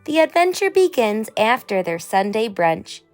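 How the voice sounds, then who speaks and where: Female storybook narrator, slow, expressive, slightly high register, music underneath. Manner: expressive, performing for young children. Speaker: children's book read-aloud narrator. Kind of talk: animated storybook narration in rhyme.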